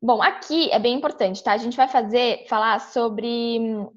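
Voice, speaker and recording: A young woman from São Paulo with a Brazilian accent talks through a tutorial at her computer. Her voice sits a little high, and she chats loosely with many fillers and restarts. The sound comes through a dull, lo-fi webcam mic indoors. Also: measured pace. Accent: São Paulo Brazilian Portuguese. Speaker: vlogger walking through a visa application. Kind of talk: casual screen-share tutorial.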